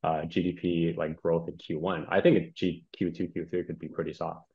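A man's voice: deep voice, level tone, fairly quick, creaky. A man in his thirties chatting casually as a real estate panelist over a video call. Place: indoors, on a remote video call. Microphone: video call, earbuds in view. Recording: dull, lo-fi video call audio.

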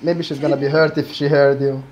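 A man's voice: fairly high pitch, flat delivery, brisk, crowd noise, low-quality recording. A young man chatting as a speed date contestant, laid-back and hesitant, with many fillers. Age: young adult. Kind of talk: casual chat. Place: indoor room. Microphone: headset on a video call.

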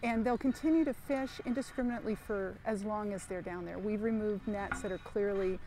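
A plain-spoken woman with a mid-pitched voice explains things in a documentary interview. Engine and outdoor noise is clearly heard behind her. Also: fairly quick, mellow, low-quality recording. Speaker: marine debris organization representative.

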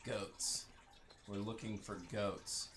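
A man with a creaky voice giving casual, joking video game commentary, talking to himself as he plays. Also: fairly low pitch, flat delivery, slow, fairly bright tone, a little hiss or hum.

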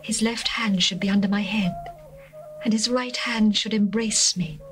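A woman voicing a young bride who longs for her beloved, in an earnest, measured dramatized scripture reading. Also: medium pitch, steady tone, fairly bright tone, lightly acted. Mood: longing. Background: faint music.

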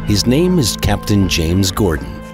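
A man's voice: low-pitched, big pitch swings, measured pace. A man narrating a scripted audio drama as a dramatic storyteller. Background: prominent music.

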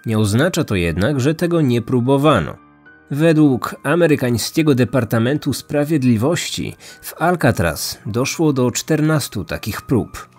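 A man narrates a true-crime podcast from a script. His voice sits at an average pitch, with music playing underneath. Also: expressive, unhurried, darkish tone. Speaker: podcast host. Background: background music.

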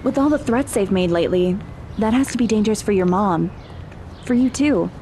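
A woman's voice: mid-range voice, lively intonation, a little fast, mellow, a little hiss or hum, natural voice. A woman playing an anxious, curious teenage girl in an audio drama, conversational and questioning. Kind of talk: naturalistic audio drama acting.

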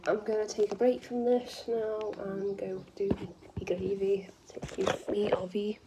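A woman's voice: medium pitch, flat delivery, unhurried, very noisy. A young woman with a northwest English accent chats casually to camera in a rambling, self-deprecating way, recorded on a phone at home. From northwest England. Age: young adult. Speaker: vlogger. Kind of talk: casual chat to camera while doing makeup. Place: indoors in a room at home. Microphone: phone propped close in front.

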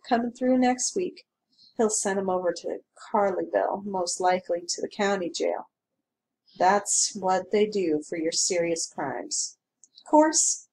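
A woman's voice: medium pitch, a little mellow, a little hiss or hum, natural voice, rough recording. A woman doing a folksy, gabby small-town male constable. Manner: folksy drawling talk, sour then gabby. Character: grumbling chatty rural male constable, middle-aged. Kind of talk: audiobook character reading.

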